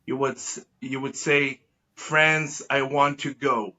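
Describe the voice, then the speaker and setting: A man's voice: mid-range voice, steady tone, slow, bright, forceful tone. A man from Israel whose native language is Hebrew, answering as a podcast guest in a wordy, formal, self-correcting way. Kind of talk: conversational interview answers. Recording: dull lo-fi sound.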